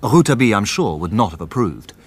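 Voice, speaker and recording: Lawyer narrator, thoughtful, wry, measured, fairly low voice, lively intonation, radio play storytelling. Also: male voice, husky. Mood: reflective, wry. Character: thoughtful male lawyer recounting the tale, gently wry. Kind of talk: scripted radio drama narration.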